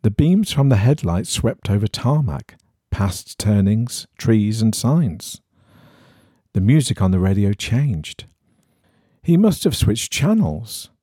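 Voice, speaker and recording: A man reading an eerie horror story in a measured, suspenseful storytelling manner. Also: lowish voice, very animated, mellow, slightly rough, no echo, studio quality. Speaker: story reader.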